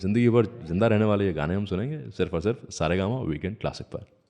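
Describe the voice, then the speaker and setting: A man's voice: on the low side, fast talker, dark tone. A man hosting a radio show, telling stories between songs in a conversational way and acting out the dialogue he quotes. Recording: long reverb, faint music behind.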